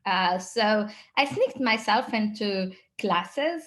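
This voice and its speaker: A woman's voice: medium pitch, animated, takes it slowly, fairly bright tone. A woman in her thirties from Tunisia with a Tunisian accent, an interview guest telling her personal story informally. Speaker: startup founder, interview guest.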